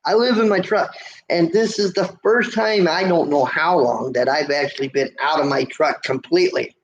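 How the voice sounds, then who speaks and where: Middle-aged male trucker host, a bit high and squeaky, chatty and folksy, faint hiss behind. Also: moderate pace. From United States. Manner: rambling, folksy, with many fillers and restarts. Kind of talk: casual live chat reading viewer comments. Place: motel room. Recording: lo-fi livestream audio, faint noise.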